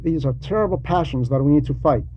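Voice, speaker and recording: A man giving a sermon in a halting, explanatory and admonishing way, with conversational asides. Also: on the high side. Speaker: preacher reading a translated homily. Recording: dull lo-fi recording with faint noise.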